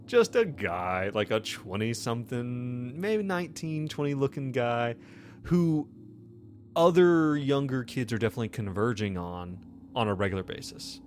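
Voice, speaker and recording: A man narrating a tabletop game as game master, improvising in a loose, halting, conversational way. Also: medium pitch, animated, darkish tone.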